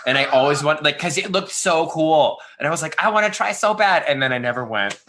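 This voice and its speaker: Bright, chatty man co-host. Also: fairly high pitch, animated, a little fast, slight background noise. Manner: playful, chatty storytelling. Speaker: podcast co-host. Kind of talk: casual podcast chat.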